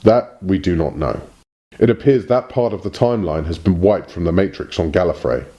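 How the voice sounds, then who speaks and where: A man's voice: low-pitched, normal speed, mellow, dull audio, close to an everyday voice. A man playing a pompous, evasive alien messenger in a parody audio drama, with formal, theatrical delivery. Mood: formal, portentous. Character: pompous, evasive alien Time Lord messenger in smart suit.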